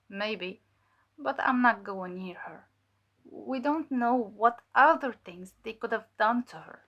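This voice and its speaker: Casting: actress as haunted female undercover agent, higher-pitched, halting and emotional, fearful and guilt-ridden, audio drama testimony. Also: fairly bright tone, muffled recording, heavily acted. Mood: wary.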